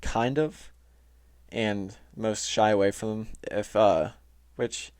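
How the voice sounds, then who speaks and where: A man explaining things casually as a podcast host, halting and informal, often saying "like". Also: fairly low pitch, a little mellow. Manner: informal, halting, heavy use of "like". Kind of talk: casual explainer talk reading from notes. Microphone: close, touchy microphone.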